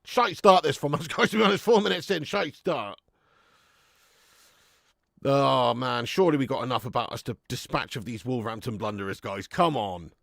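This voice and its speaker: A man hosting a live fan watch-along of a football match at home, chatting to viewers with sweary banter and bursting into loud cheering at chances. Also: mid-range voice, expressive, normal speed, gravelly, no room sound, studio quality. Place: at home in front of a camera.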